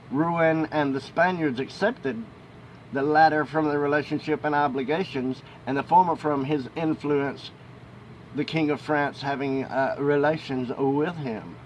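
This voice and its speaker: A man reading a historical book aloud as an amateur narrator, with emphatic phrasing and the odd stumble. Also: moderate pace. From United States. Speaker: amateur audiobook reader. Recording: noisy home recording with ambient rumble.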